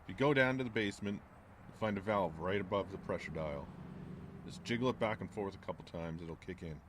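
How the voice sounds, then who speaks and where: Man acting a gruff, low-voiced small-town landlord. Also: fairly quick, background noise, close to an everyday voice. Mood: matter-of-fact. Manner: curt, ominous, folksy. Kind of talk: audio drama acting.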